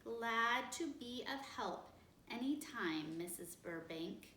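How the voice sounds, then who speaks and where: A prim, superior lady, put on by a woman reading a story aloud, speaks slowly with fussy, over-precise diction. Her pitch rises and falls widely. The sound is roomy and caught from some way off the mic. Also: animated, pushed and bright, far from the mic, a little hiss or hum, heavily acted. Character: prim, superior woman who prizes perfect speech.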